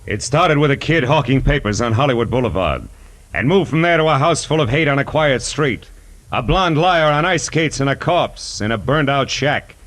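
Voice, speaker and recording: A man's voice: mid-range voice, lively intonation, moderate pace, a bit bright, natural voice. A man playing a wry, tough private detective, acting and narrating in 1940s radio noir style. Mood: brooding narration. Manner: sardonic, hard-boiled. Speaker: lead actor in radio detective drama. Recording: vintage 1940s radio broadcast recording.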